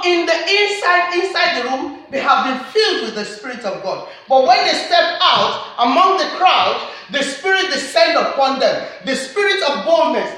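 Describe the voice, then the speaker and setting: A woman's voice: high voice, fairly bright tone. A woman with a Nigerian accent preaching a sermon in a church, repeating her key points with earnest emphasis. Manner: earnest, repetitive emphatic phrasing. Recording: very echoey church hall.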